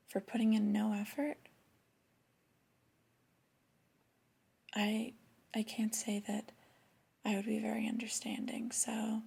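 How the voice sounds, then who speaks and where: Breathy, half-whispering flirty boss played by a woman. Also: a little high, heavily acted. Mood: reluctant, stern. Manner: gentle, hesitant, intimate delivery. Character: flirty female boss, disapproving yet coaxing. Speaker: ASMR performer. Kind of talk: soft-spoken ASMR roleplay.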